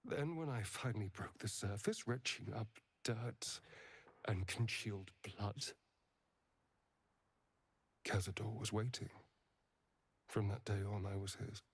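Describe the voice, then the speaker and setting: A man's voice: on the low side, unhurried, long pauses, slightly rough. An actor playing a charming, wounded male vampire spawn in a video game, with a theatrical, drawling, wry delivery. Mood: haunted.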